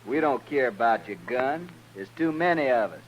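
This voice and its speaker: A man's voice: mid-range voice, lively intonation, moderate pace, a crowd in the background, natural voice, poor audio. An actor playing a cocky, goading gunman in a radio western, with a folksy rural western American accent. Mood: taunting, menacing. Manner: taunting, folksy, swaggering. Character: cocky, goading Old West troublemaking gunman. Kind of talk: 1950s radio western acting.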